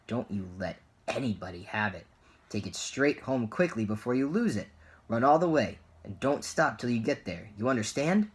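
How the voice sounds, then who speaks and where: A man reading aloud, voicing a fat, kindly male shopkeeper who shouts high and excitedly. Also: normal speed, slightly rough. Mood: firm.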